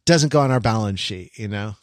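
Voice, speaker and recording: A man's voice: on the low side, fairly quick, dry recording, studio quality. A man co-hosting a podcast, chatting casually with a wry, sardonic manner. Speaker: podcast co-host. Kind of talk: casual conversational commentary.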